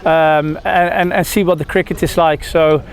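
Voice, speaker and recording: A man's voice: on the high side, level tone, normal speed, slight background noise. Hesitant Dutch-raised cricketer in his thirties. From Netherlands. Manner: hesitant, lots of fillers and restarts. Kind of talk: press interview.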